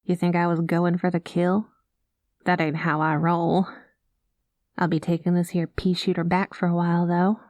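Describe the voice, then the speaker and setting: Woman, space cowgirl pilot, cowboy slang, soft whispers, warm tone, close dry mic. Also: on the low side, flat delivery, moderate pace, no room sound. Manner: soft-spoken, slips into whispers. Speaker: ASMR roleplay performer. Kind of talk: ASMR roleplay, spoken to the listener.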